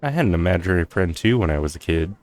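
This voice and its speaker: Low-voiced man, casual gaming commentary. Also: animated, rapid-fire, a little mellow, no echo. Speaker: video game streamer. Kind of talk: casual let's-play commentary.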